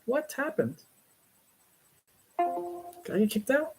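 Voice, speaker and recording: A man's voice: very high voice, sing-song, slow, darkish tone, breathy edge, soft background music. A man in a casual online voice chat, teasing and pushing others with questions. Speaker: voice chat participant.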